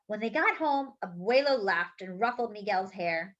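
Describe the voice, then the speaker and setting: A woman's voice: mid-range voice, normal speed. A woman reading a picture book aloud expressively, acting out the dialogue and adding chatty asides. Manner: animated, acts out the dialogue. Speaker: children's storybook reader. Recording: dull lo-fi recording.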